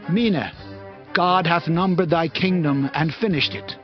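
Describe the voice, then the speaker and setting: A man's voice: on the high side, measured pace, natural voice. Guy doing a stern solemn prophet scolding royalty, scripture drama, muffled sound with faint music. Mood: stern, accusing. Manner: solemn, declamatory. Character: grave male prophet rebuking a proud king. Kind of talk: dramatized King James Bible reading. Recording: dull lo-fi audio with music.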